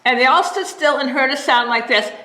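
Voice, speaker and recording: A woman librarian reading a bedtime story aloud in a gentle, expressive way. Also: high-pitched, fairly quick, a little hiss or hum. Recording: roomy echo.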